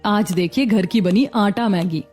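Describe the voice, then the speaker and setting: A woman playing a sensible, patient young mother and daughter-in-law, gently reasoning in a Hindi cartoon story. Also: medium pitch, unhurried, soft background music, close to an everyday voice. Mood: helpful.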